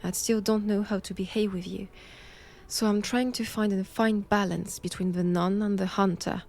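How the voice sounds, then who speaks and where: A woman with a French accent playing a stern, cold vampire-hunting nun in an intimate audio roleplay, measured and commanding. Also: mid-range voice, little pitch movement, a little mellow, close to an everyday voice.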